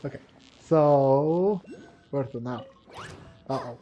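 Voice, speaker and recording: A man's voice: medium pitch, slow, muffled recording, slight background noise. A man giving casual playthrough commentary on a video game, musing hesitantly as he thinks aloud. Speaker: gaming video creator, player. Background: game music.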